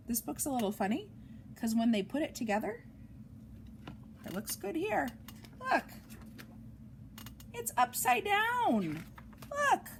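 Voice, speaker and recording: A woman reads a picture book aloud to young children in an expressive, sing-song way, adding chatty asides, on an amateur home recording. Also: high voice, slow, vocal fry, pauses often, slight background noise. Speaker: storytime reader for young children.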